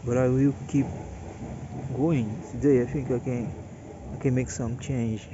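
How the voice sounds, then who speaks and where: A Brazilian man in his late thirties speaks English with a Portuguese accent, tired and hesitant, often repeating himself as he searches for words. His delivery is very slow. The recording is dull and lo-fi, with clear background noise. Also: mid-range voice, steady tone. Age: 30s. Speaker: vlogger. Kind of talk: personal monologue.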